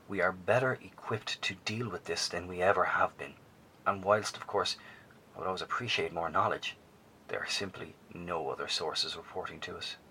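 A man plays a grave, formal professor broadcasting to survivors from a bunker in a horror audio drama. His voice sits low but sounds bright and forceful, and a faint noise runs underneath. He talks in a measured way and often sinks to a hush. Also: heavily acted, rough recording. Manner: measured, formal, hushed at times.

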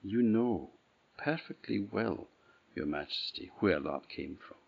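A man voicing a calm, steadfast young gardener in a fairy tale, quiet and measured. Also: on the low side, gravelly, breathy edge, highs cut off, exaggerated voice.

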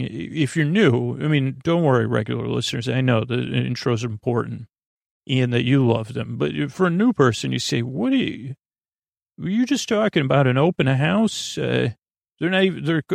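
Very creaky-voiced, meandering man. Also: mid-range voice, dry recording, studio quality. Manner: meandering, tangential, halting, trailing off mid-sentence; goofy, friendly. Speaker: podcast host. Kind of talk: rambling, soothing sleep podcast monologue.

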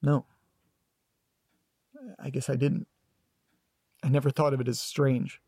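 A man's voice: medium pitch, level tone, takes it slowly, gravelly, dark tone, slightly rough, no echo, full character voice. A man acting in an audio drama as a guarded, sarcastic grandson who is detached from family trauma, speaking in a dry, hesitant, clipped way.

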